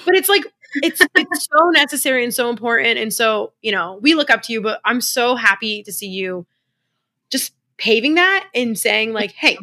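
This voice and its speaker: A young woman co-hosting a podcast who gushes quickly and casually, full of fillers and restarts. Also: on the high side, animated, bright, forceful tone. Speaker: podcast co-host. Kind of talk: casual interview chat.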